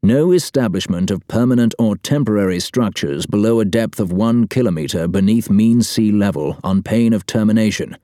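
A man's voice: lowish voice, normal speed, hardly any pauses, dark tone, no room sound, studio quality, pitched above the performer's own voice, close to an everyday voice. A man voicing a formal, pedantic, public school English government man in an audiobook. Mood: wary warning.

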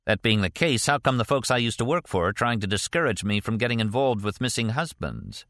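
A man's voice: mid-range voice, expressive, brisk, fairly bright tone, no room sound, pitched above the performer's own voice, close to an everyday voice. A man reading an audiobook plays a sceptical male ex-CIA detective with a dry, sardonic, reasoning manner.

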